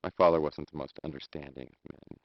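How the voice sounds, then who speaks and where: A man's voice: extremely low pitch, fairly even intonation, fairly quick, no echo. A man acting as a subdued, self-conscious hospital patient in a live-action game. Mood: uneasy, confessional. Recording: dull lo-fi game video audio.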